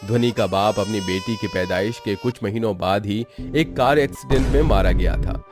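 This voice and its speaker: A man's voice: on the low side, normal speed. A man narrating a scripted story in a dramatic storytelling style. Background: background music.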